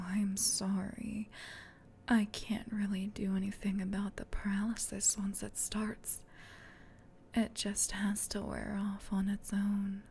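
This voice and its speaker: A woman voicing a female sleep paralysis demon in a breathy, hushed, intimate whisper for an ASMR roleplay. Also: mid-range voice, a little hiss or hum. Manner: slow, teasing, hushed, intimate. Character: female sleep paralysis demon, menacing tease turned flustered gentle comforter.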